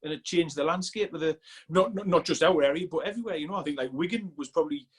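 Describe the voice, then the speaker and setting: A man's voice: on the high side, fast talker, husky. A man in his forties with a Sunderland accent, chatting casually as a podcast guest, rambling with many restarts. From Sunderland, England. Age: 40s. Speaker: strength coach guest.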